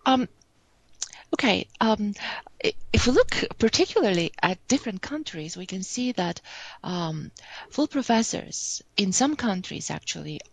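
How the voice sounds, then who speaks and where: A woman's voice: mid-range voice, sing-song, measured pace, breathy edge, dry recording. A woman from Bulgaria, giving a remote conference talk on statistics, hesitant and self-correcting with many fillers. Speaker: academic speaker.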